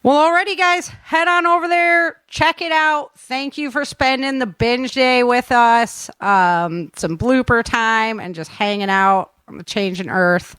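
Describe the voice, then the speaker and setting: A woman's voice: fairly high pitch, unhurried, few pauses, fairly bright tone, clear, resonant tone, dry recording. A woman hosting a podcast in casual, upbeat and informal chat. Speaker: podcast host.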